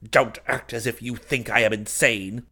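A man's voice: on the low side, normal speed, darkish tone, natural voice. A man voicing a deluded, paranoid man in a storybook, imperious, haughty and intense in his commands. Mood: furious.

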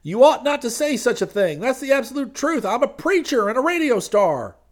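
Oily huckster preacher, high and pleading, very sing-song, as a man puts him on. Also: sing-song, normal speed. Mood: wheedling. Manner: high sugary pleading voice, Southern drawl. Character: fast-talking oily male radio preacher, wheedling.

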